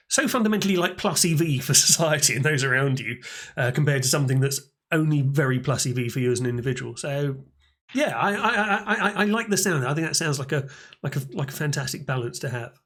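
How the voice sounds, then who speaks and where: A man hosting a casual podcast interview, halting and repeating words as he asks his questions. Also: a little high, a bit bright. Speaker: podcast host.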